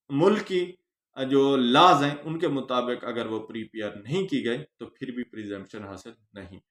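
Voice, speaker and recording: A man's voice: mid-range voice, fairly bright tone. A man with a Pakistani accent giving an instructional law lecture, didactic and emphatic, posing questions and answering them with English terms mixed in. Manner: didactic, emphatic stress on phrases, mixes in English legal terms. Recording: roomy, echoing voice recording.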